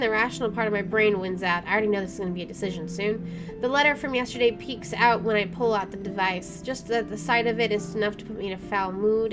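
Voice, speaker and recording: A chatty, expressive woman reading a visual novel aloud as she plays, with casual jokey commentary. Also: mid-range voice. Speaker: gamer doing a first playthrough.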